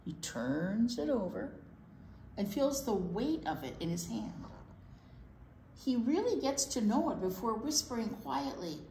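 A woman's voice: on the high side, measured pace. An older woman reading a picture book aloud to children expressively, with chatty asides. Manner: expressive, animated. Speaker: children's library storytime reader. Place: indoor library storytime room. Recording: echoey room with background noise.

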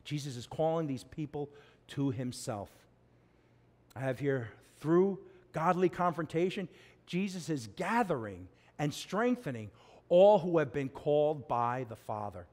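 A middle-aged man preaches from a church pulpit, his voice climbing high and falling low. His delivery is expressive and animated, with loud emphatic peaks and hushed low passages. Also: unhurried. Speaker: pastor. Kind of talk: sermon.

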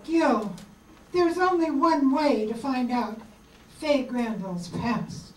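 A woman's voice: high voice, takes it slowly. A woman playing a determined, earnest heroine in an old-time radio soap opera. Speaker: amateur performer in a radio play reenactment. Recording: echoey room, distant camera mic.

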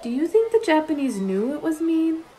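A woman's voice: sits high, very animated, moderate pace, warm tone, a little hiss or hum. A woman playing an earnest, sentimental young refugee girl in a radio play. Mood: subdued.

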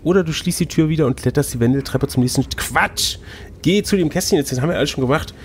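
A man's voice: a little high, fast talker. A man acting as game master, reading a fantasy gamebook aloud and bantering casually. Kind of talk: storybook narration mixed with casual banter. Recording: noticeable background noise.

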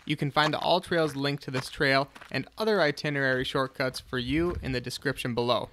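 A man's voice: mid-range voice, steady tone, brisk, fairly bright tone, a little hiss or hum. A man in his twenties, a travel vlogger narrating and chatting casually about a hike. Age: 20s. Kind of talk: hiking vlog narration and casual chat.

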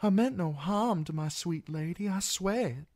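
A man voicing a broken, blinded young singer in an audiobook, pleading mournfully in a cracked, halting whisper of a voice. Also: high voice, darkish tone, pitched above the performer's own voice.

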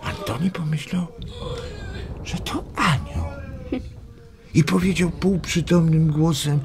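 An actor playing a gentle elderly grandfather telling stories slowly and hesitantly in a children's radio play. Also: a little high. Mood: storytelling, tender.

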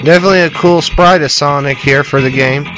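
A man's voice: on the high side, fast talker, over music. A man giving casual, rambling gameplay commentary with crude language, recorded indoors in a small trailer on a camera aimed at the screen. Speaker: gamer, video host.

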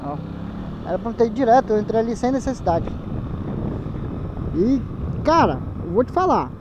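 A man's voice: extremely high pitch, big pitch swings, moderate pace. A man from Manaus with a Brazilian Portuguese accent, chatting casually in slang to his viewers while riding a motorcycle at night, recorded on a helmet camera mic. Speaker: motovlogger. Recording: dull helmet-cam audio with loud wind and engine noise.

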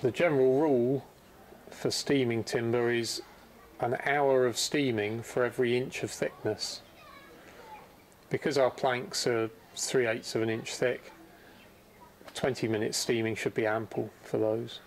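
A man's voice: mid-range voice, steady tone, measured pace. Middle-aged male instructor, easygoing and practical, recorded in a workshop with a faint background hum. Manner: easygoing, practical explaining with restarts. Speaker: boatbuilding instructor. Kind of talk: hands-on workshop demonstration. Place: boatbuilding workshop indoors.

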